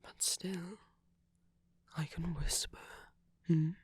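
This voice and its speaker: A woman playing a gentle, doting vampire boyfriend in a soft-spoken romantic ASMR roleplay, tender and lightly teasing, often whispering close to the microphone. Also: medium pitch, fairly even intonation, drawn-out words, breathy edge, heavily acted. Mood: gentle reassurance.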